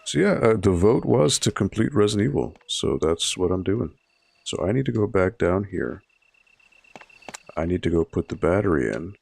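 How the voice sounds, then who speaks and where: Library stream host, man in his thirties, hoarse and raspy, low gravelly voice, relaxed and chatty while he plays. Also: normal speed. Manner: relaxed and chatty, explains each step while playing. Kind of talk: casual gameplay commentary to a live chat.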